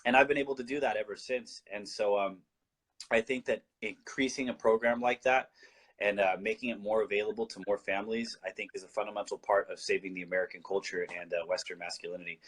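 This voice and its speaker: A man's voice: on the low side, fairly even intonation, fast, fairly bright tone, breathy edge. A man from Colombia, a guest in a casual talk-show discussion, explaining his views earnestly and often restarting his sentences.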